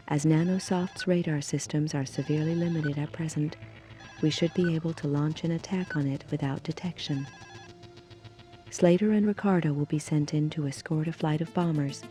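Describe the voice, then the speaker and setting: An actress playing a stern police commander, delivering a formal, authoritative scripted mission briefing in a video game. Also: female voice, sits low, warm tone, lightly acted. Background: music.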